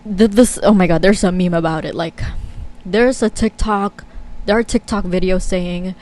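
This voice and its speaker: A woman's voice: on the low side, steady tone, moderate pace, a little mellow, slight background noise. A young woman talking casually to camera as a vlogger, chatty and candid with many fillers and frequent laughs.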